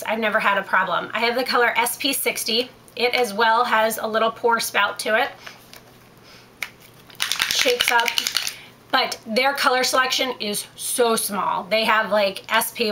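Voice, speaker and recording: A woman's voice: fairly high pitch, pushed and bright, a little hiss or hum, poor audio. Chatty woman with a head cold. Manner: chatty, rambling, self-correcting with many fillers. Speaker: beauty vlogger. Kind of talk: casual makeup review vlog.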